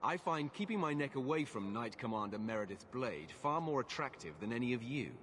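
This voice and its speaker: An actor playing a weary, blunt guard captain in a video game cutscene, speaking curtly and firmly. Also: male voice, mid-range voice, sing-song, fairly quick, fairly bright tone, slight background noise. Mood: stern. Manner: curt, firm.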